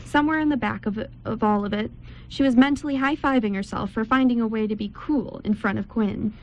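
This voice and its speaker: A woman's voice: on the high side, brisk, highs cut off. A woman reading scripted story narration for an amateur radio drama. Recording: background noise, amateur room recording.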